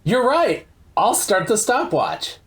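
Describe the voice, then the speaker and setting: A woman playing an eager, excitable sidekick and timekeeper who gushes with exclamations. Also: mid-range voice, slow. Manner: gushing, lots of oh and hey exclamations. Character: eager excitable young sidekick of a radio crew. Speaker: timekeeper of the show.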